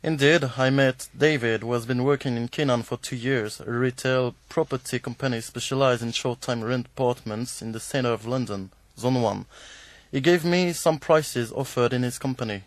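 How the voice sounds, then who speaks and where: A man's voice: mid-range voice, normal speed, no echo. Man reading a radio report, dry sound. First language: French. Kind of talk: scripted report narration.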